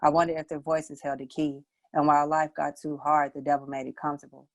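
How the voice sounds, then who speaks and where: Low-voiced woman reading spoken word poetry. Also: flat delivery, quick pace, no echo, clean studio sound. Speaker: poet, showcase participant.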